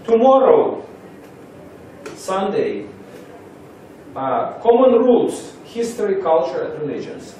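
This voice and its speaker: A Russian man speaking English as a second language, giving a halting seminar introduction with many pauses. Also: high-pitched, big pitch swings, warm tone, slight background noise. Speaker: lecturer. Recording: roomy echo from far off.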